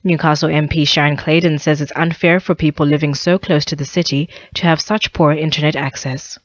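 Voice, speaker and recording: A woman's voice: deep voice, fairly even intonation, a little fast, no room sound, muffled recording. A woman reading a scripted radio news bulletin, occasionally stumbling over phrases. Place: dry radio studio.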